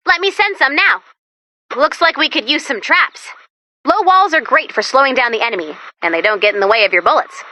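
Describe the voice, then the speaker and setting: A woman's voice: high voice, bright, forceful tone, dry recording, exaggerated voice. A woman voicing a perky, quirky female robot helper in a video game, chirpy and encouraging as she guides the player. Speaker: mission guide. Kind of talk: video game tutorial voice lines.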